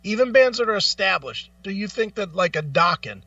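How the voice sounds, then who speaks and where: High-pitched, blunt, ranting man. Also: animated, fairly quick, pushed and bright, no echo, distant mic, highs cut off. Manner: blunt, profane, argumentative, emphatic; repeats phrases for emphasis. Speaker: talk show host. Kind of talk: opinionated music talk, ranting.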